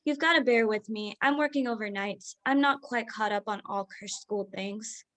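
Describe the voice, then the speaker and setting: A woman acts out an overworked doctor mom in a contemporary drama reading. Her voice sits fairly high, and she is brisk, proud and quick to push back, insistent about what she wants. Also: fairly bright tone, natural voice. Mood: flustered, brisk.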